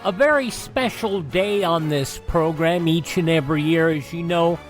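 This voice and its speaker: A man hosting a radio program in a conversational, somewhat halting and rambling way. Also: fairly high pitch, soft background music. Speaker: radio show host.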